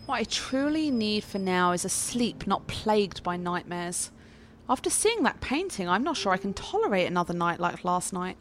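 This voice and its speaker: A woman's voice: mid-range voice, very animated, moderate pace, slight background noise, close to an everyday voice. An actress playing an intense, troubled, genteel young lady in a horror radio play, speaking in a theatrical and formal way. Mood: anxious, subdued. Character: intense, troubled genteel girlfriend haunted by nightmares. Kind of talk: dramatic radio play acting. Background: music.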